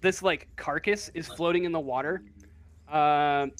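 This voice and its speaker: A man with a slightly high voice runs a tabletop game as game master. He speaks slowly and haltingly, building the scene in short, hesitant fragments. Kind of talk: narrating a tabletop role-playing game.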